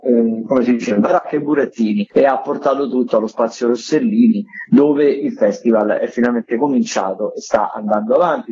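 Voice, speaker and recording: A man with a mellow, husky voice works through a radio round-up as a theatre correspondent. He is discursive, pausing to search for words. The sound is dull and lo-fi. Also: mid-range voice. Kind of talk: radio events round-up, semi-improvised.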